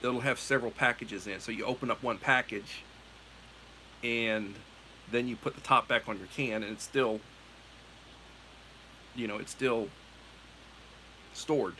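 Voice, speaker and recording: A man's voice: mid-range voice, steady tone, a little slow, long pauses, bright, forceful tone, a little hiss or hum, low-quality recording. A middle-aged American man hosting a livestream, chatting in a meandering, self-correcting way. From United States. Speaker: livestream host. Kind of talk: casual rambling chat.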